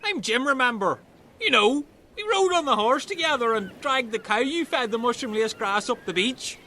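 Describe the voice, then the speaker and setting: An excitable, chatty young man, acted by a man in a comic audio play. His voice sits extremely high and swoops with lively pitch, over faint background noise. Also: normal speed, exaggerated voice. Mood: friendly. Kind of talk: comedic audio play acting.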